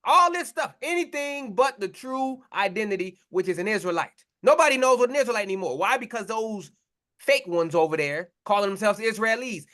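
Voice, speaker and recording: A man's voice: high voice, pushed and bright. A man preaching a confrontational sermon, blunt and mocking, in slangy talk to his listeners.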